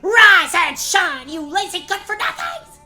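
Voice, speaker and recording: A woman's voice: very high-pitched, sing-song, unhurried, over music, heavily acted. A woman playing a bossy, sarcastic, scheming evil mother in a comedic fairy-tale radio play. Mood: shrill, angry. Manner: sarcastic, barking orders.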